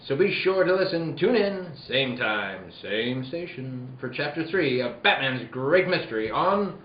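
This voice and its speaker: A man as a lively, suspenseful radio serial announcer. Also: medium pitch, a little slow. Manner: theatrical, suspense-building. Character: dramatic, breathless old-time radio serial announcer. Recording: roomy, dull sound with crowd noise.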